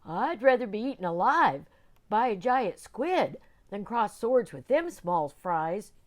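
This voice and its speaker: A woman playing a cowardly pirate who whimpers about being scared. The voice rides a little above her own, slow and shaky. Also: mid-range voice, very animated, pitched above the performer's own voice. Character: timid, whimpering pirate crew member.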